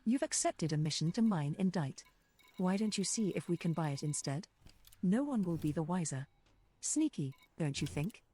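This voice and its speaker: A woman's voice: fairly low pitch, animated, moderate pace, warm tone, husky. A woman voicing a sly, playful ship computer copilot, making scripted announcements in a video game.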